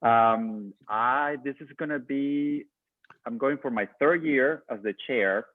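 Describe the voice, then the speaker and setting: A man's voice: mid-range voice, slow, no room sound. Man with hesitant speech on a tinny call. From Peru. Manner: hesitant, many fillers. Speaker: sister city committee chair. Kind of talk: video call introduction. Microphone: video call. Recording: tinny phone-like dry audio.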